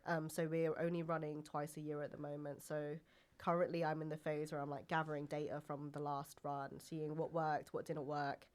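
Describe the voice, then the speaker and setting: A woman's voice: low-pitched, little pitch movement, moderate pace. A young woman from England, chatting casually as a podcast guest and filling her talk with "like" and "um". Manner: chatty, heavy use of "like" and "um".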